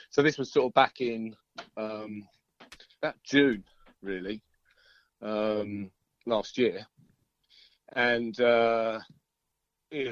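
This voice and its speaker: A man with a fairly low voice talks casually as a guest musician in an interview. He rambles in an easygoing, hesitant way. The sound is dull and lo-fi, with a dry, close feel. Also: dry recording. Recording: dull lo-fi call audio.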